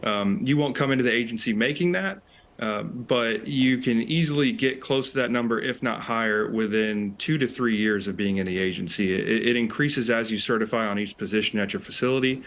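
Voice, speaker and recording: An American man, roughly thirties to forties, answering conversationally as an interview guest and specialist. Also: mid-range voice, a little fast, fairly bright tone, a little hiss or hum. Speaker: interview guest, air traffic control specialist.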